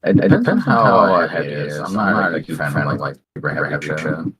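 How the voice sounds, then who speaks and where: A man's voice: a bass voice, animated, brisk, fairly bright tone, distant mic. A man chatting casually from a little way off the mic, with faint noise. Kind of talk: casual chat.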